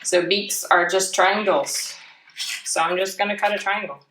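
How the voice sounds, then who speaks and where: A woman's voice: medium pitch, fairly even intonation, a little slow. A woman giving a casual craft demonstration for children, chatting playfully with self-deprecating asides. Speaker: children's library storytime presenter. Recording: roomy, distant mic, clear background noise.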